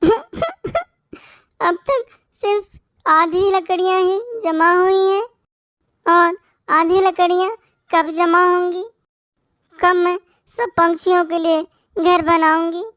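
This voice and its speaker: A woman's voice: extremely high pitch, fairly even intonation, takes it slowly, long pauses, a bit bright, dry recording, studio quality, heavily acted. A woman voicing a sad, timid little orphan bird in a cartoon, with a plaintive, tearful way of talking. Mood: weary, tearful. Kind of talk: Hindi moral-story cartoon acting.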